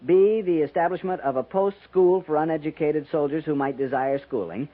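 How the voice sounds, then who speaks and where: Man playing a fast-talking, fussy junior officer. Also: on the high side, natural voice. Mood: officious, pedantic. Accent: American. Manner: deferential, pedantic, flustered. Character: earnest, fussy by-the-book junior army officer. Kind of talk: 1950s radio drama acting. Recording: dull 1950s radio recording.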